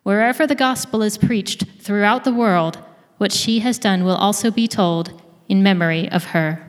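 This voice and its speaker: Woman reading bible passage out loud, steady, big church reverb. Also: mid-range voice, normal speed. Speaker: scripture reader. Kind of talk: reading scripture aloud. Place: church sanctuary.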